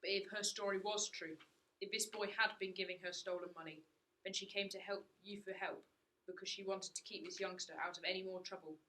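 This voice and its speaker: A teenage performer plays a male police inspector in a radio play. The voice is bright and sharp, pressing with pointed, insistent questions. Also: medium pitch, fairly even intonation, brisk, close to an everyday voice, poor audio. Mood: probing. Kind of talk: school radio play acting.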